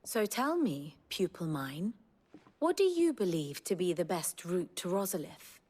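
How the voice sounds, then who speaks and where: A woman's voice: fairly low pitch, sing-song, a little slow, a little mellow, slightly rough, heavily acted. An actress playing an elegant woman mentor and strategist in a video game, speaking in an erudite, formal and archly theatrical way. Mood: wry, instructive. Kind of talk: dramatic expository game dialogue.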